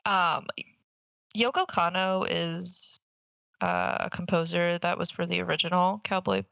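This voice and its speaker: A woman's voice: on the low side, animated, measured pace, vocal fry, fairly bright tone, clean tone, no echo. A woman co-hosting a podcast in casual conversation, emphatic, repeating words for stress and swearing casually. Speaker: podcast co-host.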